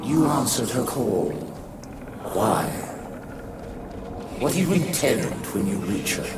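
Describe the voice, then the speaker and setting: An actor playing an ancient, menacing machine guardian in a video game, taunting in grandiose, archaic and formal speech. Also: male voice, medium pitch, very animated, takes it slowly, very noisy. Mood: scornful, probing. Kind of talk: theatrical video game villain acting. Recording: loud cinematic music and effects behind.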